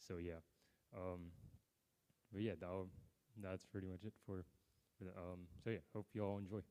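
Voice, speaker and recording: A young man from the United States chatting casually on stage at an open mic, relaxed and rambling with lots of fillers, in an outdoor amphitheater. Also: deep voice, normal speed, smooth.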